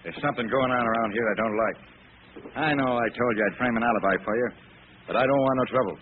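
A man's voice: mid-range voice, fast, noisy background, natural voice. An actor playing a worried, shady male pool hall owner in an old radio crime drama, streetwise and slangy. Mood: uneasy. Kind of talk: 1930s-40s radio crime drama acting. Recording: tinny old radio recording.

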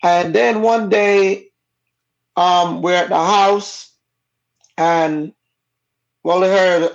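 A woman's voice: fairly low pitch, fairly even intonation, very slow. A Jamaican woman talks through her past in a frank, conversational way. She grew up speaking Jamaican Patois, and it shows. The audio is a bit dull and lo-fi. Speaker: guest. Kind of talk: personal storytelling in a casual interview.

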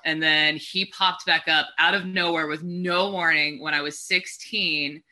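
Late 20s woman from texas, super bright voice, dark jokes, says like constantly. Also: deep voice, steady tone, a little slow. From Texas, USA. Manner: dark-humored, many "like" fillers, laughs at own stories. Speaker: guest. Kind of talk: comedic personal storytelling in conversation.

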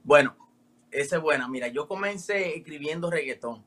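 A man in his twenties from the Dominican Republic with a Dominican accent, a rapper answering interview questions in relaxed slang. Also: a little high, moderate pace. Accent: Dominican Spanish. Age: 20s. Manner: relaxed, street slang with frequent "me entiendes" tags. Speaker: rapper guest.

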